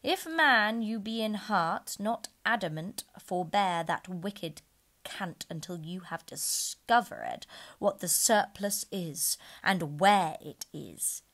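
A woman voicing a stern, solemn male ghost who speaks slowly and gravely in a storybook reading. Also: mid-range voice, fairly even intonation, a bit bright, lightly acted. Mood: rebuking. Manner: slow, grave and rebuking.

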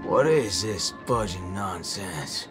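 A man voicing a gruff, cocky cowboy gunslinger in a video game, with a swaggering drawl. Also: sits low, expressive, slow, music in the background. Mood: irritated.

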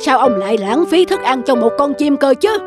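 Woman as a high-voiced, scolding old wife. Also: lively intonation, brisk. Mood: scornful, angry. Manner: sharp-tongued, bossy. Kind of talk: children's fairy-tale storytelling. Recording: music behind the voice.

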